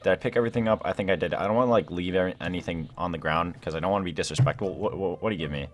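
A young man giving excited, casual gameplay commentary, rambling and thinking aloud in slangy exclamations. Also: low voice, rapid-fire. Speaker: gaming video host.

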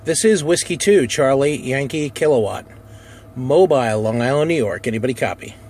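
A man's voice: medium pitch, expressive, moderate pace, a little mellow. A man giving a casual demonstration as an amateur radio operator, recorded inside a car. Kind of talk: casual demo narration and radio call-outs. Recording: noisy car interior, handheld camera. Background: vehicle noise.

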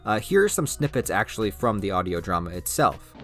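A man's voice: fairly low pitch, very animated, a little fast, fairly bright tone. A man hosting a YouTube channel, giving an informal, encouraging scripted promo narration. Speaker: YouTube reviewer and channel host. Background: faint music.

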